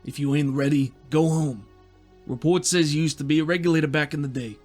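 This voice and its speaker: A man voicing a gruff, short, mechanical inquisitor officer with blunt, folksy tough-guy talk in a tabletop roleplaying game. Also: fairly high pitch, fairly even intonation, brisk, darkish tone, soft background music, pitched above the performer's own voice, close to an everyday voice. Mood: stern.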